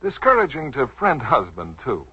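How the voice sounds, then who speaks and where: A man's voice: mid-range voice, huge pitch swings, normal speed, slightly rough. A man announcing a scripted 1940s radio commercial with an emphatic sales delivery. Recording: dull 1940s radio broadcast recording.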